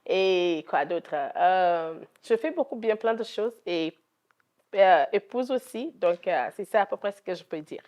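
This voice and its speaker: A woman's voice: fairly low pitch, expressive, a little slow, darkish tone. A woman from Togo with a Togolese French accent, answering interview questions in an earnest, devout way as a gospel singer.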